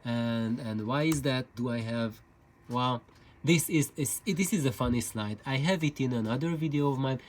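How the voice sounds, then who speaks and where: A man's voice: mid-range voice, normal speed, slight background noise. A man giving an informal explainer talk in a hesitant, meandering way, often repeating his words. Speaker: video presenter on loudspeaker building.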